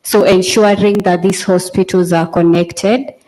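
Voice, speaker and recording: A Kenyan woman speaking as a panelist in an orderly, measured way. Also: lowish voice, fairly even intonation, warm tone, low-quality recording. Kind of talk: panel talk. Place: conference hall.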